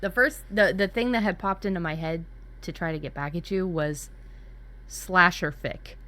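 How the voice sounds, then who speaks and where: A woman's voice: fairly low pitch, normal speed, fairly bright tone. A woman in casual brainstorming chat as a workshop co-host, talking candidly and dramatically, with some swearing. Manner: candid, sweary, dramatic about spooky ideas.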